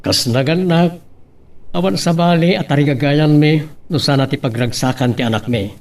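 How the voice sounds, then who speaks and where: A man's voice: a little high, normal speed, darkish tone, close to an everyday voice. A man playing a middle-aged, plain-spoken father in a radio-style drama, with an Ilocano accent. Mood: friendly, approving.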